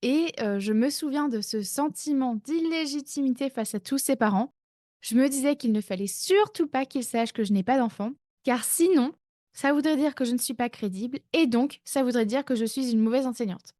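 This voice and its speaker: Woman, 30s, life-coach podcast host, higher voice, relaxed chatty delivery, dry close recording. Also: moderate pace, no echo. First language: not English. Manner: chatty, self-mocking, many fillers. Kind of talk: casual podcast monologue.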